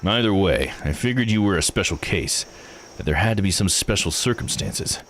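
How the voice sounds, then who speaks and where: A man voicing a blunt, plain-spoken man from a visual novel game, gruff and probing. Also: low voice, lively intonation, moderate pace, a bit bright, faint music behind. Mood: matter-of-fact.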